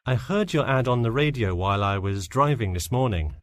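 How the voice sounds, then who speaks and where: A man's voice: mid-range voice, expressive, normal speed, no echo, natural voice. A man playing an eager, agreeable lifeguard applicant answering questions in a scripted interview. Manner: agreeable, casual, cooperative. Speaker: job applicant. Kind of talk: scripted listening-test dialogue.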